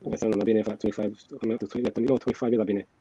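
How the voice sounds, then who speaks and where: A man's voice: lowish voice, flat delivery, rapid-fire, warm tone, husky, no room sound. A man, talking casually about himself and often tagging sentences with questions. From Jamaica, moved to England at thirteen. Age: 30s. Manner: frequent tag questions seeking agreement. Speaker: podcast guest. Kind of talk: casual autobiographical talk. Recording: dull, dry video call audio.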